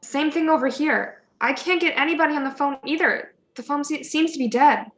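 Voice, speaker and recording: A woman's voice: high-pitched, a little fast, fairly bright tone. An actress playing a worried suburban neighbour woman in a radio play. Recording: dull, echoey home recording.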